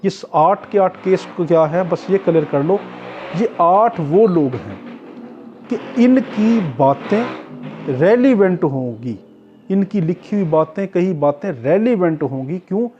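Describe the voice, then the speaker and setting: A man's voice: high-pitched, expressive, measured pace, dark tone, slight background noise. Dull lo-fi recording, Pakistani man teaching. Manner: didactic, repetitive, asks questions and answers them himself. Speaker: law tutor. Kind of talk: informal exam-prep lecture, explaining clause by clause.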